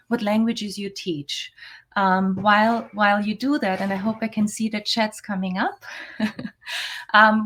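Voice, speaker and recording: Earnest woman giving keynote online. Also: medium pitch. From Austria. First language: German. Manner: earnest, emphatic, halting with restarts. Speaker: keynote speaker. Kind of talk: academic keynote address. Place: remote, online conference. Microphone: video call.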